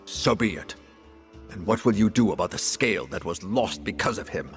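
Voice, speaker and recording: An actor playing a stern, haughty official of a hunters' order in a fantasy game, speaking formally and accusingly. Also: male voice, fairly low pitch, animated, normal speed, faint music behind, lightly acted. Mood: haughty challenge. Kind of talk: dramatic fantasy game acting.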